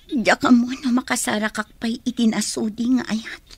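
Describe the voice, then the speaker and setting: Heartbroken, guarded woman played by an actress, husky and slightly high, Ilocano Filipino accent, radio drama. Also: steady tone, moderate pace.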